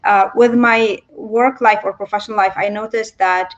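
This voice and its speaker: A woman's voice: mid-range voice, fairly even intonation, moderate pace. A woman talks through her thoughts earnestly on a panel. Her audio comes through a bit dull and muffled. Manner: earnest, reflective. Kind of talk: conversational panel discussion.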